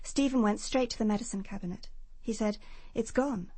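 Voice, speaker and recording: An English actress playing a prim, self-assured nurse giving precise testimony in a British radio crime drama. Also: female voice, medium pitch, a little fast, mellow, slightly rough, dry recording. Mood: brisk, matter-of-fact.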